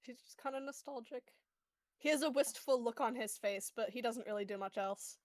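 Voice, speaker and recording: High-voiced woman tabletop player, dry sound. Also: fairly quick, no echo. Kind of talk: casual improvised role-play chat.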